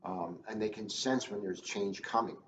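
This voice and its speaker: A man's voice: lowish voice, normal speed, a bit bright. A man who works as a school police officer talks casually and earnestly, repeating phrases for emphasis. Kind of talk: casual, earnest talk to children. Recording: roomy, muffled, faintly noisy.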